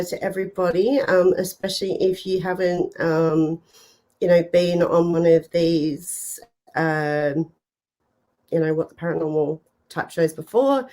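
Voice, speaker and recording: Stuffy-nosed woman hosting a slow, chatty live show. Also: lowish voice, a little mellow. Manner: chatty, rambling, many fillers and laughs. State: stuffed up from a cold. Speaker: host. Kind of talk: casual live-stream chat.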